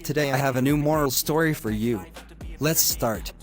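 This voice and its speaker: A man's voice: mid-range voice, normal speed. Man narrating kids' stories over music. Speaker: children's story narrator. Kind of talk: scripted narration of moral stories for children. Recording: music behind the voice.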